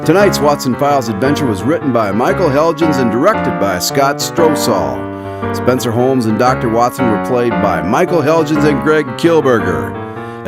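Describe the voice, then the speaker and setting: An eager, genial radio host with a lively, varied voice of medium pitch. He speaks in scripted radio drama style over loud guitar music. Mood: genial sign-off. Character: eager, genial male radio host hearing Watson's tales. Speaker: announcer and listener host.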